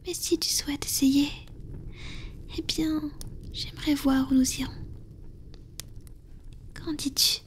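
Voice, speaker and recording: An ancient female vampire , played by a woman, speaks in a high, soft whisper for an ASMR romance roleplay. She is wise and powerful, yet tender and hesitant with her lover. Her delivery is very unhurried and intimate, with breathy pauses between phrases. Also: fairly even intonation. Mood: earnest, tentative. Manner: slow, intimate, halting with pauses.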